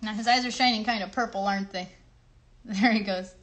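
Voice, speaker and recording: A woman's voice: medium pitch, steady tone, fairly quick, fairly bright tone. A woman hosting a children's craft livestream, chatting through each step in simple words and often checking in with her young viewers. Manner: chatty, encouraging, often asks the viewers questions; simple child-directed wording.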